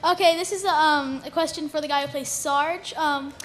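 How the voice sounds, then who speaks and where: A woman's voice: sits high, steady tone, moderate pace, a bit bright. A young woman in an audience hesitantly asking a casual question at a live panel. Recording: live event microphone, faint noise.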